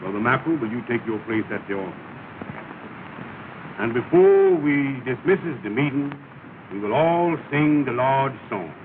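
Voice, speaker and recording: A man's voice: medium pitch, expressive, unhurried, lightly acted. An actor playing a pompous, bossy male lodge leader in a 1930s film comedy, speaking in a grand, ceremonious way. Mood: commanding. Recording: tinny, hissy 1930 film soundtrack.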